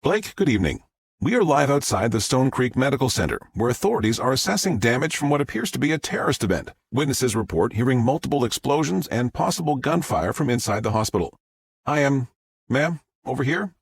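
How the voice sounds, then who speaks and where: A man voicing a woman TV news reporter speaking in a crisp live-broadcast manner. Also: medium pitch, expressive, a little fast, no room sound, pitched above the performer's own voice. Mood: brisk. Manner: crisp newscaster delivery.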